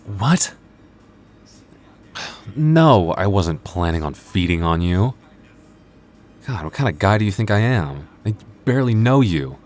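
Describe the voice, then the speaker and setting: A man's voice: lowish voice, sing-song, a little slow, pauses often, a little mellow. A man voicing a young male vampire store coworker in an ASMR roleplay, flustered and teasing, with hesitant, sardonic banter. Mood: flustered, exasperated. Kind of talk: ASMR roleplay audio drama.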